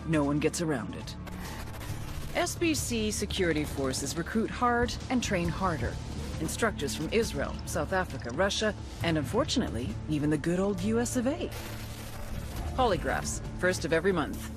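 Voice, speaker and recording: A woman's voice: fairly low pitch, big pitch swings, moderate pace, music in the background. An American woman playing a hard-edged, cynical female intelligence agent in a video game, dry and sardonic. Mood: wry briefing. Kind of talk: scripted game cutscene narration and dialogue.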